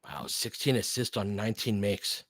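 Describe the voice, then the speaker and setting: A man's voice: on the low side, expressive, moderate pace, a bit bright, no room sound. A man giving informal live basketball play-by-play with bits of analysis, in clipped, slangy, conversational phrases as a partisan fan. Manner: partisan fan of one team.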